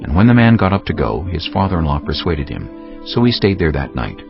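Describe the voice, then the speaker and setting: A low, gravelly man narrates scripture solemnly and deliberately, with expressive rises and falls. Music plays clearly beneath his slightly muffled voice. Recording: muffled audio with prominent music underneath.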